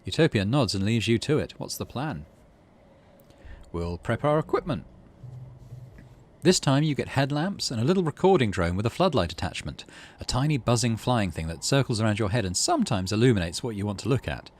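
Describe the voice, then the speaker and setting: A man's voice: mid-range voice, expressive, quick pace, few pauses. A man hosting a game playthrough, reading story text aloud expressively and adding casual asides. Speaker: gaming video host.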